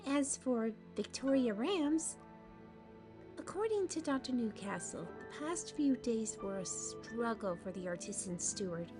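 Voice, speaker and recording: Female narrator, fairly high voice, storybook delivery with chatty asides, music bed. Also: animated, measured pace. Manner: chatty, stops to puzzle over names.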